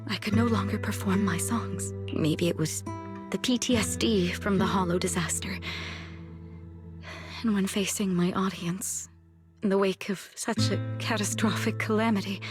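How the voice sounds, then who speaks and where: A reserved former singer acted by a woman in a game drama, with a mid-pitched voice. She speaks in a measured, subdued way, weighed down by regret, while guitar music plays clearly behind her. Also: natural voice. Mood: sad, self-reproachful. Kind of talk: video game dramatic voice acting.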